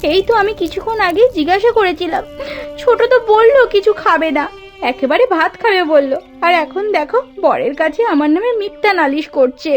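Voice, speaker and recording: A woman's voice: very high voice, expressive, normal speed. A woman playing a meek, wronged elder wife in a cartoon folk tale, speaking in a plaintive, aggrieved wail. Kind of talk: animated folk-tale voice acting. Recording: music behind the voice.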